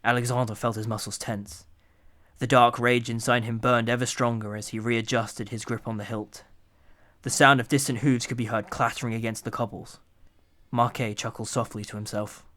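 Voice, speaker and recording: A man with a mid-pitched voice reads as the narrator of an audio drama. His delivery is scripted, dramatic storytelling. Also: little pitch movement, moderate pace, fairly bright tone.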